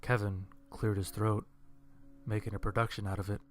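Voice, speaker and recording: A man narrates a scripted story in a lowish, very even voice with little rise or fall. Soft music plays faintly behind him. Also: moderate pace.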